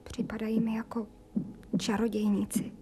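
A female actor plays a plain-spoken, squeamish servant in a radio drama. Her rather high voice gives hushed, colloquial commentary over faint noise. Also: little pitch movement, natural voice. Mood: horrified awe. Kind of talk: radio drama acting, often hushed asides.

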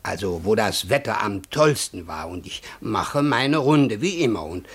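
A folksy village night watchman in a radio play, rambles along stubbornly. His voice is husky and lively, and he takes his time. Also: fairly low pitch, measured pace, heavily acted. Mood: rambling, earnest. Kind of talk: German radio drama acting.

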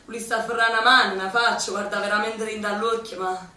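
A woman's voice: medium pitch, fairly even intonation, fast talker, sharp tone, lightly acted. An actress playing a young Neapolitan woman partisan in a stage monologue, with a Neapolitan accent and an impassioned, declaiming delivery. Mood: hushed, grieving. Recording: very echoey stage from far off.

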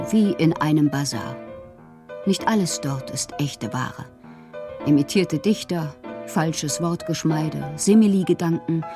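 An actress in a radio drama playing a lonely, whimsical bohemian woman writer, intimate and wistful, with a husky, breathy voice that often drops to a whisper. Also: low voice, mellow, full character voice. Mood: weary, wry. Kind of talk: literary radio monologue.

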